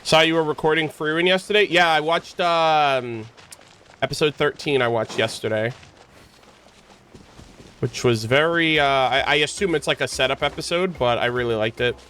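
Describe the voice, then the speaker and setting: A man chatting casually on a livestream as he streams games, rambling along in a halting way. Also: a little high, pushed and bright. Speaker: game streamer. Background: background music.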